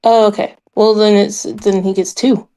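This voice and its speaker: A woman's voice: mid-range voice, flat delivery, moderate pace, warm tone. A woman chatting casually as a player in a tabletop game.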